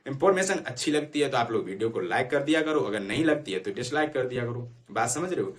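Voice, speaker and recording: A man's voice: mid-range voice, expressive, fast. Man coaching students informally. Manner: repeatedly checks understanding with short tag phrases. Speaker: exam-prep YouTube educator. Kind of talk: informal explanatory talk to students.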